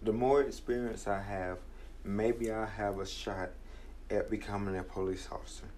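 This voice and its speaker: A man's voice: fairly low pitch, steady tone, normal speed, darkish tone, slight background noise. A young man in his twenties giving an earnest, reflective motivational talk about his own struggles. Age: 20s. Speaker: podcast host.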